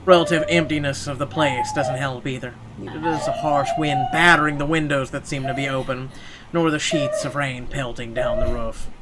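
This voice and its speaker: A man's voice: medium pitch, fairly even intonation, normal speed. A man playing a horror game, reading its text aloud theatrically and adding sarcastic asides. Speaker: let's player.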